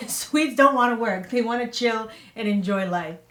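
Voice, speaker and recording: A woman's voice: a little high, a little slow, fairly bright tone. Candid woman in an echoey room. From Canada, Toronto. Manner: chatty and candid, laughs easily. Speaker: vlog co-host, new mother. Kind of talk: casual sit-down chat. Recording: echoey room, mic at a distance.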